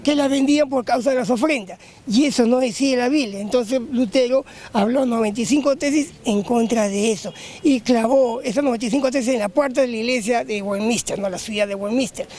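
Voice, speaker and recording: A man interviewed on the street, explaining slowly and searching for his words. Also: very high-pitched, a little mellow. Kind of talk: impromptu explanation.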